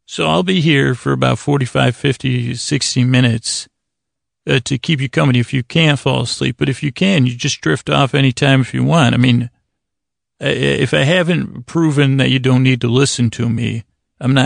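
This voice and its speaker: A man hosting a bedtime podcast in a gravelly voice, rambling and hesitant, self-deprecating, wandering off into tangents. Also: medium pitch, steady tone, moderate pace, dry recording, clean studio sound. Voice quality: gravelly, creaky. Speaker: podcast host.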